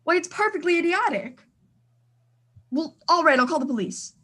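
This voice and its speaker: High-pitched, imperious, frantic wealthy wife. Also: female voice, expressive, normal speed, pushed and bright, exaggerated voice. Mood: exasperated. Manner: indignant, demanding, stammering in agitation. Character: imperious, frantic, fretful well-to-do married woman. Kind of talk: radio thriller acting.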